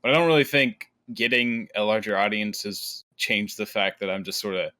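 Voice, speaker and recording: A man speaking as a guest creator in a casual podcast interview, explaining himself in a measured way. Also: lowish voice, fairly even intonation, sharp tone. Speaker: guest content creator and commentary YouTuber.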